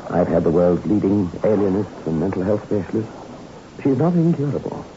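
A man's voice: deep voice, big pitch swings, brisk. An actor playing a middle-aged father who guards his troubled daughter, earnest and formal, in 1960s radio drama style. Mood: grave, confiding. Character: grieving, pleading father guarding his deranged daughter on an island. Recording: muffled, noisy old 1960s radio recording.

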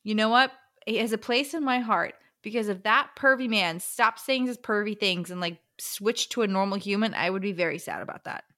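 A woman's voice: mid-range voice, brisk, hardly any pauses, sharp tone. A woman co-hosting a podcast, chatting casually in a playful way with dramatic reactions. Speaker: podcast co-host. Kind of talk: casual chat about books.

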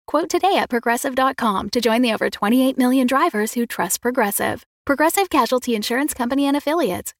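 A woman giving a brisk, polished scripted ad read. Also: on the high side, no echo, clean studio sound. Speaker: ad reader.

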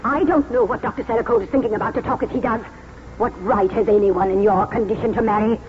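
A woman's voice: fairly high pitch, steady tone, quick pace, natural voice. An actress playing a domineering, haughty lady of the house, scolding imperiously in 1940s radio drama style. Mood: cold, scornful. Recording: muffled, faintly noisy 1949 radio broadcast.

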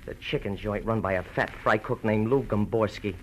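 An actor playing a nervous, bitter man just out of jail, speaking haltingly and repeating words in an old radio drama. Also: fairly low pitch, fairly bright tone, close to an everyday voice, low-quality recording. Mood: anxious. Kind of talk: 1940s-50s radio drama acting. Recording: old radio broadcast, faint noise.

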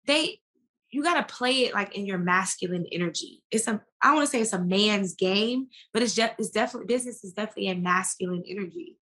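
A woman's voice: mid-range voice, fast talker, sharp tone. An American woman talking casually as an interview guest, with an emphatic, slangy way of speaking. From United States.

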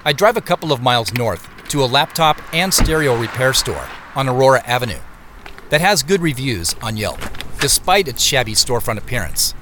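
A man's voice: medium pitch, big pitch swings, brisk, close to an everyday voice. An actor playing a male protagonist who narrates his own day in scripted first person, matter-of-fact, for an audio drama. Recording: noisy outdoor traffic backdrop.

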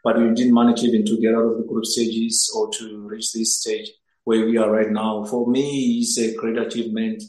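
A man's voice: lowish voice, flat delivery, moderate pace, a little mellow. A young man from Zimbabwe who plays as a goalkeeper, answering interview questions earnestly and often repeating words as he searches for them. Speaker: footballer, goalkeeper. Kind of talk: interview answers over a remote link. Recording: echoey room.

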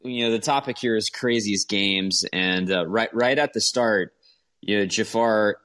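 A man hosting a casual sports chat, recalling things excitedly and correcting himself as he goes. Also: on the low side, measured pace. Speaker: sports podcast host. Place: remote group call on a live audio app. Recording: faint ambient background noise.

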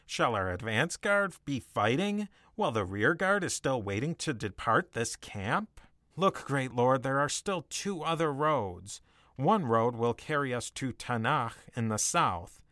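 A man's voice: mid-range voice, very animated, unhurried, bright, forceful tone, no room sound, studio quality. An actor playing an anxious Egyptian general in a dramatic reading, pleading formally with his king. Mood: worried pleading. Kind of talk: dramatic reading of an ancient account.

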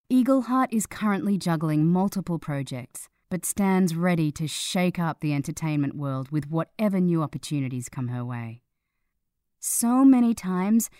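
A woman reading a long-form article in a measured, serious scripted narration. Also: on the low side, dark tone, dry recording, clean studio sound. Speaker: article reader.

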